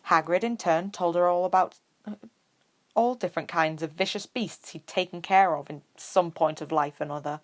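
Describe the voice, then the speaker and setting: A woman's voice: lowish voice, normal speed. A woman narrating fan fiction as an audiobook reader. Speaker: podfic reader.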